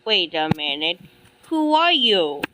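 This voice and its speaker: A woman's voice: fairly low pitch, very animated, slow pace. A woman improvising a dramatic scenario, speaking in a hesitant, repetitive way. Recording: noisy homemade recording.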